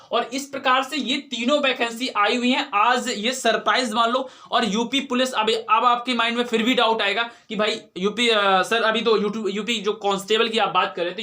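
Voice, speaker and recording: A man's voice: very high voice, pushed and bright. A man teaching in an informal explanatory way, repeating key points and answering his own questions. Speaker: exam coaching teacher.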